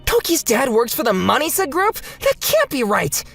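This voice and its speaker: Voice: sits high, huge pitch swings, normal speed, creaky, fairly bright tone, faint music behind, pitched above the performer's own voice, heavily acted. A narrator voicing a snobbish, jeering schoolchild, mocking and scornful, in a manga dub. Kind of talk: manga dub character acting.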